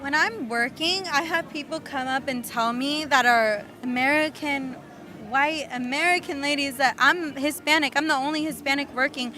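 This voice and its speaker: A woman's voice: sits high, moderate pace, pushed and bright. A woman telling a casual personal anecdote in an outdoor street interview. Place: outdoors in a town.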